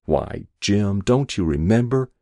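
A man's voice: fairly low pitch, lively intonation, unhurried, gravelly, warm tone, dry recording, studio quality. Man acting a soothing, tender middle-aged wife. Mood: fond, nostalgic. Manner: tender, reassuring.

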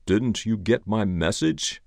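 A man's voice: on the low side, expressive, takes it slowly, creaky, darkish tone, no room sound, heavily acted. A man voicing a laconic, unruffled male investigator with a dry, questioning manner, in an audiobook style. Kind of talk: audiobook character voice.

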